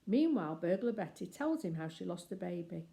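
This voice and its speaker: An older woman reading a picture book aloud as expressive children's story narration. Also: lowish voice, a little fast, creaky.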